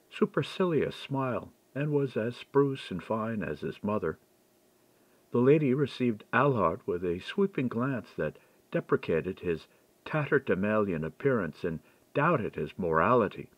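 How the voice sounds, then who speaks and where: A man reading literary fiction aloud as a scripted, expressive audiobook narrator. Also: medium pitch, no room sound. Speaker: audiobook reader. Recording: dull, lo-fi dry recording.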